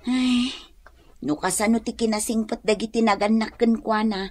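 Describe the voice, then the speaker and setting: An actress with an Ilocano accent playing a kind, patient young wife who consoles others in a radio drama. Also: female voice, fairly high pitch, steady tone, normal speed, a little mellow, natural voice. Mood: consoling. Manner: consoling, reassuring.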